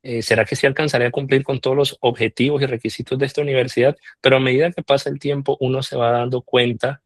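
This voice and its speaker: A man from Colombia, answering interview questions in a measured way with frequent filler pauses. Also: mid-range voice, level tone, dry recording. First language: Spanish. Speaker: interviewed scholarship alumnus.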